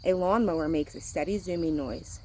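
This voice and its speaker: A woman's voice: on the low side, brisk, warm tone, a little hiss or hum. A woman reading a picture book aloud to children, speaking simply like a teacher to young listeners. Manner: acts out sound words.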